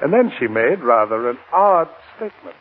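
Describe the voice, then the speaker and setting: Through a narrow, tinny 1940s radio broadcast comes a genial middle-aged lawyer, candid and confiding. His mid-range voice moves in lively swings, in the radio-drama manner of the era. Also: medium pitch, normal speed, husky, soft background music. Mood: easygoing.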